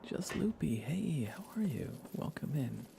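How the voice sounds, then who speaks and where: A man's voice: fairly high pitch, very animated, measured pace, vocal fry, noisy background. A man hosting a casual ASMR game livestream, chatty and informal, sometimes slipping toward a whisper. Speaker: livestream host.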